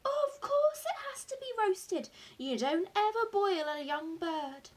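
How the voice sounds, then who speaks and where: A woman voicing a jubilant, boastful father in a storybook, excited and grandly emphatic as key words are drawn out. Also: fairly high pitch, measured pace, voice pushed up, exaggerated voice. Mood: gleeful, boastful. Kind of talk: acted audiobook dialogue.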